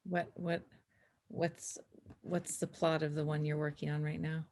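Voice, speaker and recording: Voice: medium pitch, level tone, unhurried, darkish tone, slight background noise. A table read participant chatting casually and asking questions in a conversational way. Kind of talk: casual chat.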